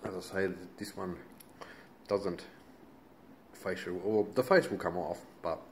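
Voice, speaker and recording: Male, Australian accent, low register. Laid-back, colloquial DIY demonstrator on a slightly noisy handheld home video. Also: measured pace. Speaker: hobbyist demonstrator. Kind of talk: casual hands-on how-to commentary.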